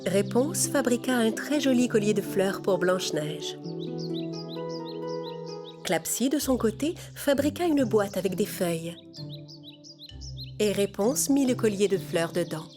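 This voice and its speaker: A woman's voice: medium pitch, animated, measured pace, long pauses, music in the background. A woman storyteller reading scripted fairy-tale narration for children.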